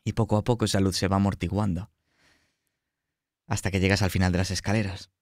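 A man's voice: deep voice, level tone, a little fast, darkish tone, dry recording, clean studio sound. A man with a Spanish accent from Spain, acting as game master and narrating a horror role-playing game in short, suspenseful phrases.

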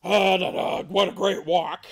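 A woman voicing a gruff, indignant papa bear in a told story, blustering and emphatic. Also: lowish voice, steady tone, unhurried. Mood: hearty.

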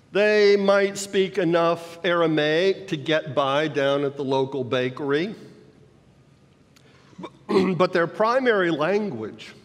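An older man in his sixties preaching a sermon from a lectern in a church sanctuary, teaching slowly and checking in with his listeners. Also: a little high. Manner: slow teaching delivery, checks in with the congregation. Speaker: pastor. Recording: long hall reverb in a church.

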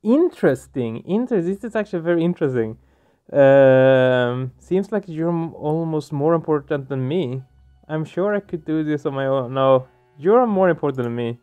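A man's voice: a little high, expressive, moderate pace, clear, resonant tone. A man giving casual let's play commentary, thinking aloud in a hesitant way. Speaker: let's player. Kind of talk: casual game playthrough commentary. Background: soft game music.

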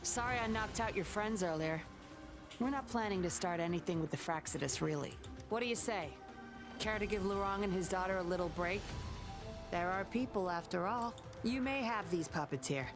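A woman's voice: medium pitch, lively intonation, normal speed, close to an everyday voice. Yinlin, a woman playing a teasing sly spy. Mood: confident. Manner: playful, teasing, smooth. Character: teasing sly young female undercover agent. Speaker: game character. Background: game music.

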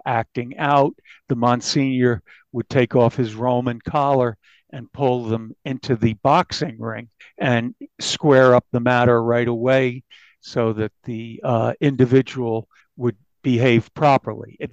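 A male journalist talking through a crime story in a podcast interview, halting and repeating words for emphasis. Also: mid-range voice, fairly even intonation, darkish tone, dry recording, highs cut off.

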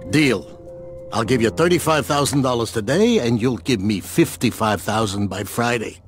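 An actor playing a shrewd older loan shark in a video game, measured and courteous with a threatening edge. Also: male voice, medium pitch, faint music behind, natural voice. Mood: quietly menacing. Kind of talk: video game cutscene acting.

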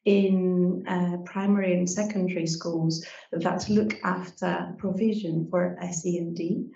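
A woman with a Greek accent, speaking as a lecturer on a university panel in a measured, hesitant way with frequent pauses. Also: fairly low pitch, fairly even intonation, vocal fry, dark tone. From Greece. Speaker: lecturer in education. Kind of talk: panel discussion. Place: university seminar room with audience. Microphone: handheld microphone. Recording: echoey room from a distance, dull sound.

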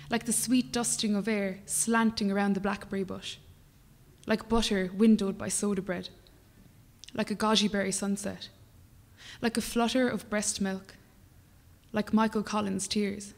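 A young Irish woman poet reading her work aloud in a measured, rhythmic way at a lectern microphone, at times dropping to a whisper. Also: mid-range voice, little pitch movement, in a large hall. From Ireland. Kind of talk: poetry reading. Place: small brick-walled performance venue, indoors. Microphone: stand mic at a lectern.

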